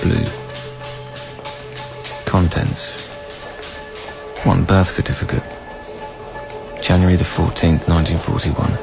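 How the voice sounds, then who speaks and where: An actor playing a sombre official who reads out an inventory in a radio drama, formal, deliberate and matter-of-fact. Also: male voice, deep voice, creaky, dull audio, heavily acted. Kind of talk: scripted read-out in a radio drama.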